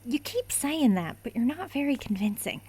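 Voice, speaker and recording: Woman actor as a gossipy, no-nonsense friend in a radio drama: blunt, plainspoken, voice sitting a little high. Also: very animated, normal speed, darkish tone, breathy edge, exaggerated voice. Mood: earnest.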